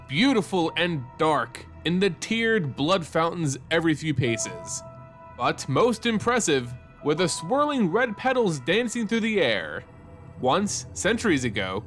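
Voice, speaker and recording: A man narrates a scripted fantasy story in a dramatic style. His voice is high for a man and very animated in pitch, over faint music.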